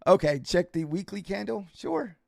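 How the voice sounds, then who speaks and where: Male day-trading streamer, creaky and slightly hoarse, informal halting commentary, close dry sound. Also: high-pitched, sing-song, a little mellow, no room sound. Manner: informal, halting, thinking aloud. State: voice starting to get hoarse. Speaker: livestreaming futures day trader and host. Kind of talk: casual live trading commentary, answering viewers.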